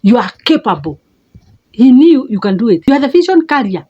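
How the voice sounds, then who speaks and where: A middle-aged Kenyan woman with a Kenyan accent, giving an emphatic motivational talk as a life coach, repeating words and leaping up in pitch for stress. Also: very animated, brisk, warm tone, breathy edge, muffled recording. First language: a Kenyan mother tongue.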